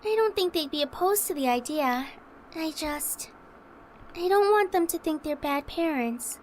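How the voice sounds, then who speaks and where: A gentle schoolgirl girlfriend in a visual novel game. Her voice is extremely high, sweet and hesitant. Music plays beneath her lines. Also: lively intonation, unhurried, slight background noise. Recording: music under the voice.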